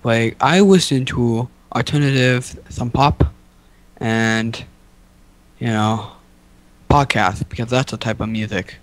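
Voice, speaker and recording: A man's voice: mid-range voice, slow pace, no echo. A young man co-hosting a podcast in casual, unscripted chat, rambling along in a goofy, mock-boastful way. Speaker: podcast co-host.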